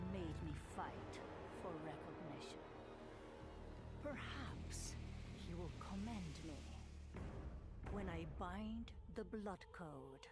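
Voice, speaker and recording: An actress playing a haughty, bloodthirsty blood goddess, imperious and deliberate, in a video game cutscene. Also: female voice, lowish voice. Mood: bitter ambition. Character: haughty, bloodthirsty female blood god demanding worship. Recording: very loud music behind. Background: music.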